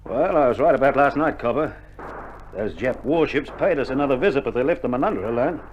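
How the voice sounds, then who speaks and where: Actor as laconic Australian army medic, swooping intonation. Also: male voice, mid-range voice, expressive, fast, slight background noise, lightly acted. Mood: wry. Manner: laconic, slangy. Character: weary, stoical Australian army medic, wry and hopeful. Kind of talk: dramatised wartime radio play. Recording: muffled 1960s vinyl record, music behind.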